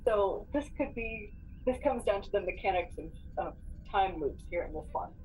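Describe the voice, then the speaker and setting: A woman's voice: medium pitch, expressive, moderate pace, a little mellow. A woman talking casually as a player in a tabletop game, planning moves aloud. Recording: dull lo-fi mic, background noise.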